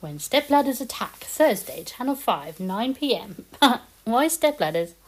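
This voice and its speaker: A woman's voice: fairly high pitch, sing-song, a little slow, pitched above the performer's own voice. A woman acting an incredulous, argumentative wife in a zombie comedy audio drama.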